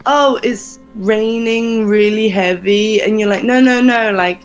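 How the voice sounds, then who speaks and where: A young Englishwoman speaks slowly and gently, plainly a teacher keeping things easy for a nervous partner. Her pitch sits mid-range for a woman, with soft music running faintly under the talk. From England. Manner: slow, patient, encouraging, laughs often. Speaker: interviewer, teacher. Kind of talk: casual conversational interview over a call.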